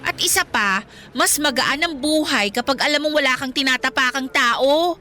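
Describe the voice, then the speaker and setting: High-voiced, chiding Filipino best friend. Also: female voice, moderate pace, sharp tone. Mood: chiding, exasperated. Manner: chiding, earnest. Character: moralizing, concerned young woman, the best friend. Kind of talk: Filipino radio drama acting.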